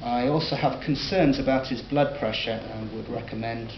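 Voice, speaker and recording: A man's voice: medium pitch, moderate pace, natural voice. A man reading a late-night radio DJ. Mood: flat. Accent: Northern English. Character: male radio DJ, newsreader and doctor. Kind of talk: scripted audio drama reading. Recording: dull lo-fi roomy recording, faint hiss.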